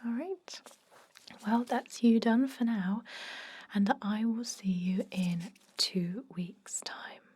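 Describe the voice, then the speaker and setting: Woman playing a calm vampire dentist, whispered ASMR, slow, mellow, soft-spoken and instructive. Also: a little high, husky. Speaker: ASMR roleplay artist.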